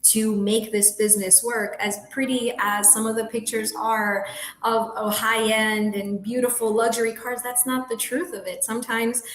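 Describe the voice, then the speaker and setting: A woman's voice: on the high side, level tone, normal speed. Colombian-born woman, earnest, echoey room. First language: Spanish. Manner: earnest, laughs often, restarts sentences. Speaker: guest, realtor. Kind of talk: casual interview talk.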